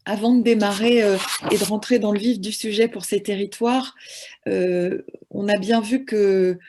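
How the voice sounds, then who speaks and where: A woman's voice: mid-range voice, steady tone, normal speed, noisy background. A woman moderating an online round table, introducing panelists with frequent hesitations and fillers over a video call.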